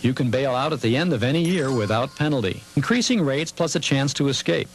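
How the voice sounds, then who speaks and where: A man's voice: mid-range voice, expressive, a little fast, a little hiss or hum. A man hosting a show, with announcer-style narration and on-location interviewing.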